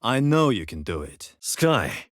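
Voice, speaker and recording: A sardonic combat agent from a video game, with a lively pitch that moves around a lot. He sounds teasing, and the recording is very dry. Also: takes it slowly, dry recording. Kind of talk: video game character voice lines.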